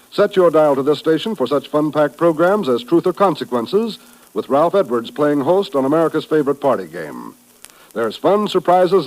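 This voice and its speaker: A man's voice: a little high, a little mellow, poor audio. A male announcer delivering formal, emphatic program introductions and promotions, in the style of 1950s network radio.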